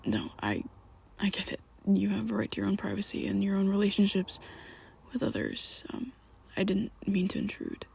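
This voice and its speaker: A woman's voice: medium pitch, sing-song, moderate pace, creaky, darkish tone, breathy edge, full character voice. A woman voicing a young male vampire best friend in an ASMR roleplay, who talks hesitantly and half-whispers with nervous stammers. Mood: awkward.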